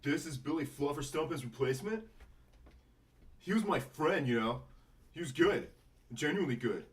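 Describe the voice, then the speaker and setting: A man's voice: on the high side, lively intonation, normal speed, far from the mic, lightly acted. A man voicing a brash, hot-headed male puppet with a blustery, confrontational manner at a sitcom table read. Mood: jealous, indignant.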